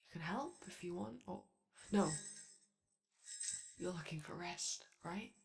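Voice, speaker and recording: Casting: female performer as gentle, childlike robot daycare helper, nervous and eager, lowish creaky voice, very lively intonation, halting delivery for ASMR roleplay. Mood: fussy, polite. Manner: hesitant, rambling, halting speech. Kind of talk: improvised-sounding ASMR character roleplay.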